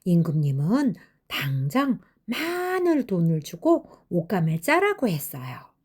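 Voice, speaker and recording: An older woman narrating children's fairy tales in a gentle storytelling style. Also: fairly low pitch, wildly swooping pitch, a little slow, husky.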